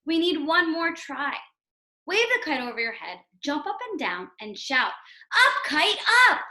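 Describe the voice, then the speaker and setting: A woman's voice: high-pitched, very animated, measured pace, sharp tone, roomy sound, heavily acted. A woman voicing a positive, encouraging frog friend in a storybook read-aloud, coaxing and emphatic.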